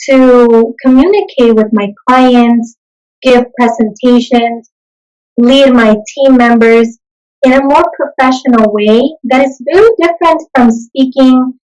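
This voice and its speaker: Earnest young woman, somewhat high voice. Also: darkish tone, rough recording. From Bolivia. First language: Spanish. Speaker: interview guest, consultant. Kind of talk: interview answers.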